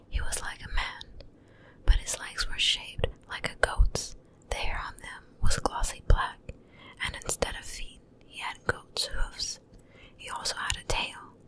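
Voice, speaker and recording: A woman reads a bedtime story in a soft, breathy ASMR whisper, hushed and gentle, close to the microphone from ear to ear. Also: poor audio. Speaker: ASMR reader. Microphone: close ear-to-ear stereo microphone.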